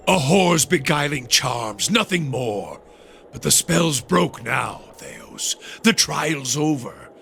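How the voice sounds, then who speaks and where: An actor playing a bitter, defiant male veteran of a goddess's war in a video game, with a biting, expressive delivery. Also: fairly high pitch, slow pace, gravelly, a little hiss or hum, full character voice. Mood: vengeful. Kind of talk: dramatic video game dialogue.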